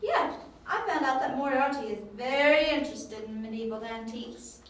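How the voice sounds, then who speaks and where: A spirited young female informant, played by a woman in a homemade radio play, high in pitch and chatty with a cheeky, grudging edge. She sounds distant from the mic, in a strongly echoing room. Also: expressive, normal speed, fairly bright tone. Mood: eager, recounting. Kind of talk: amateur audio drama acting. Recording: distant, very echoey, faint room noise.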